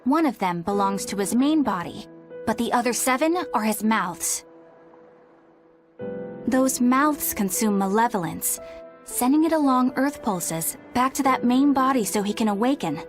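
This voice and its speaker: An analytical young woman, speaks in a fairly high voice. She sounds thoughtful and explanatory, in game cutscene style, over clearly audible music. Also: lively intonation, measured pace. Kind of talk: video game cutscene voice acting.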